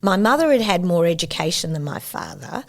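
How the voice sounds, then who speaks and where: Low gravelly older woman. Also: big pitch swings. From Australia. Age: 70s. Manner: thoughtful, unhurried recollection with warm asides. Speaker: interview guest. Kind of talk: reflective personal storytelling.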